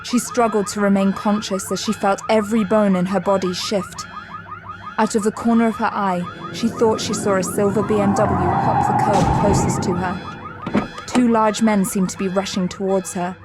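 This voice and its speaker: A woman's voice: mid-range voice, steady tone, normal speed, background noise. A woman narrator reading scripted, dramatic third-person narration for an audio drama. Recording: prominent music bed under narration.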